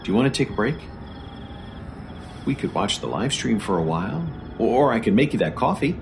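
Calm doctor interviewer, horror audio play, lowish voice w/ lots of inflection, measured, music behind. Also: male voice, vocal fry. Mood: calm, probing. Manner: calm, measured, clinical questioning. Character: measured, probing male doctor interviewing a survivor.

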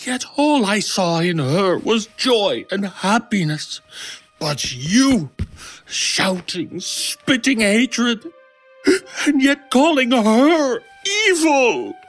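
A man acting in an audio horror drama as a grieving, protective shopkeeper, with a husky voice and an emotional, reproachful manner. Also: high-pitched, sing-song, very slow, a bit bright, heavily acted. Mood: bitter, reproachful. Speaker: voice actor. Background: music.

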